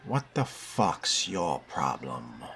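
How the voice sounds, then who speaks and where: A man voicing a guarded fledgling vampire in a video game role-play, with dry, deliberate, sardonic delivery. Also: a bass voice, big pitch swings, fairly bright tone, a little hiss or hum, full character voice. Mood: guarded, defiant.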